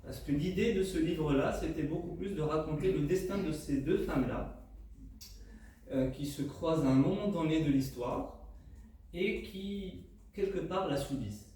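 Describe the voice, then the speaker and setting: A man's voice: fairly high pitch, normal speed, mellow. A man whose first language is Arabic presents his book, speaking unscripted and hesitantly, with many fillers and restarts. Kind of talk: unscripted book talk to an audience. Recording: echoey room, mic at a distance, faint noise.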